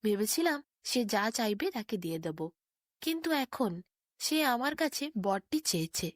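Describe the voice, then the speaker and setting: A woman's voice: on the high side, very animated, a little slow, no room sound, clean studio sound. A woman voicing a solemn, principled king and loving father in a storybook, speaking formally and earnestly. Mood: grave, worried.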